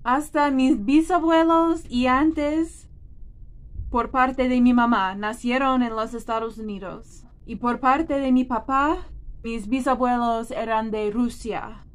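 A woman's voice: on the high side, fairly even intonation, takes it slowly. A woman in her thirties from the United States, a native English speaker with an American accent, chatting casually and expressively to camera. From United States, New Jersey. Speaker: vlogger. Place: small room indoors.

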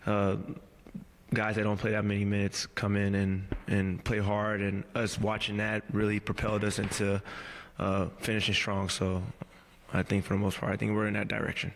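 A man in his thirties answering reporters at a postgame press conference, measured and halting, with many fillers and restarts, speaking into a table mic in a press room. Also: on the low side, completely flat delivery, big-room sound. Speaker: pro basketball player at postgame press conference. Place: press room before a team backdrop. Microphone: table mic on a stand.

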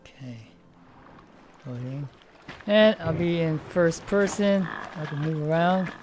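A woman's voice: low-pitched, takes it slowly, noisy background. A woman streaming a VR game from home, chatting casually as she plays, full of hesitant restarts and thinking aloud. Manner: rambling, hesitant, lots of restarts. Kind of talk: casual live-stream commentary. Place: room at home, seated in a VR headset.

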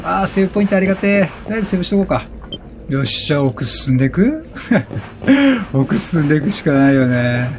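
A man giving excited game commentary, exclaiming and repeating words rapidly as he reacts. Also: high voice, slight background noise. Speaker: let's play gamer. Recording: game music behind the voice. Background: video game music.

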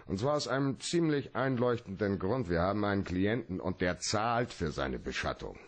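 A hard-boiled detective in a radio crime drama, very low and husky. He is sardonic and evasive, with terse lines that still swing widely in pitch. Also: animated, moderate pace. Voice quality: husky, rough. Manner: laconic, dry, evasive. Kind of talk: German radio play acting.

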